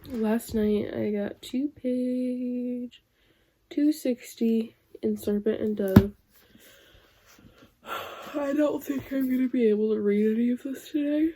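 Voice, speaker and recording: A young woman vlogging casually about her reading, rambling with lots of fillers, her voice going hoarse. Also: fairly high pitch, slow pace, a little hiss or hum. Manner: rambling, filler-heavy, soft-spoken. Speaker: book vlogger. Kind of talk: casual vlog chat.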